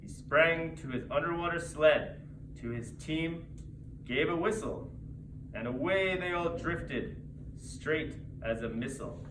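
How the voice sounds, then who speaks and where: A man theatrically reciting a rhyming holiday story as a storyteller, in measured phrases. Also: fairly high pitch, expressive. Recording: distant mic, echoey noisy room.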